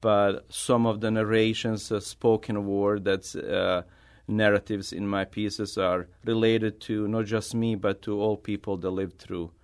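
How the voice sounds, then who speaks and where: A middle-aged man from Bosnia with a Bosnian accent, a native Bosnian speaker answering interview questions as an artist in halting, non-native English. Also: on the low side, level tone, clear, resonant tone. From Bosnia, former Yugoslavia. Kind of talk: conversational interview answers, personal storytelling.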